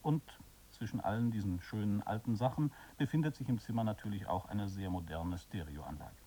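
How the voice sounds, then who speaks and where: A man's voice: fairly low pitch, fairly quick, dark tone, slightly rough, distant mic. A man narrating a radio play, setting the scene in scripted narration.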